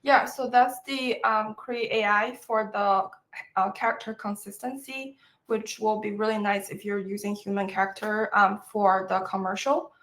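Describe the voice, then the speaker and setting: A woman's voice: medium pitch, steady tone, fairly bright tone, faint music behind, low-quality recording. Chinese-accented woman presenting with frequent fillers. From China. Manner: halting, many fillers, friendly. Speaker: professor presenting. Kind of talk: webinar presentation.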